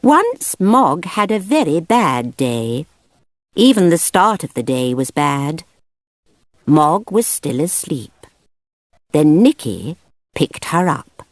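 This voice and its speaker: Slow, low-voiced woman reading a children's story. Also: very animated, dry recording, studio quality. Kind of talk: read-aloud of a picture book.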